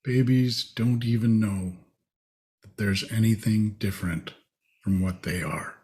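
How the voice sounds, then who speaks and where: A man leading a guided meditation, speaking in short, spacious phrases with long pauses. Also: lowish voice, dark tone.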